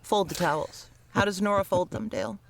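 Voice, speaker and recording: A woman's voice: mid-range voice, fairly quick, vocal fry, a little hiss or hum. Blunt, joking woman podcaster. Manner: blunt, jokey, profane. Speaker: podcast co-host. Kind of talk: casual chat.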